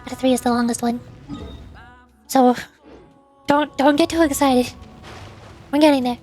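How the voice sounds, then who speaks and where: A woman streaming a video game in a high, cute voice. She chats playfully and reads dialogue aloud between her own comments. Faint music sits behind her. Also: fairly even intonation, measured pace, dark tone. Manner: cutesy, playful, chatty.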